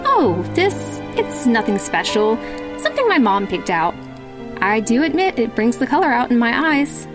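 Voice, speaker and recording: Woman doing a polite shy rich girl in a fan cartoon, kinda high, formal, swingy pitch. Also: very animated, normal speed. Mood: shy, fond. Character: polite, reserved young heiress, shyly fond. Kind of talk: animated fan series voice acting. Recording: background music under the voice.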